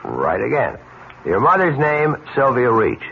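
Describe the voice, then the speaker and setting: A man's voice: mid-range voice, huge pitch swings, measured pace, vocal fry, full character voice. An actor playing a mild, puzzled, hesitant married man in a radio mystery drama. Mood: puzzled, wry. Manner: hesitant, stammering. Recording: dull, hissy vintage radio recording.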